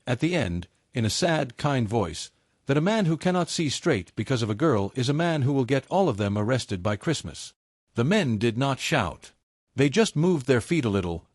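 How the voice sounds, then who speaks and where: Expressive male audiobook narrator, dry sound. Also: mid-range voice, normal speed, dry recording. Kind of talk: scripted dramatic story narration.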